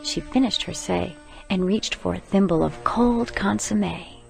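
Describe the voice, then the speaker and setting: A woman playing a little girl who narrates a rhymed radio play in a hushed, watchful, creaky voice. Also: lowish voice, measured pace, soft background music. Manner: hushed, storytelling in rhymed verse.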